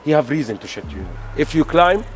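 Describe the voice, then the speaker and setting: A man's voice: a little high, animated. Earnest man guiding a tour. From Palestine. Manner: earnest, halting English with grammar slips. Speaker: tour guide. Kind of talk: guided tour explanation.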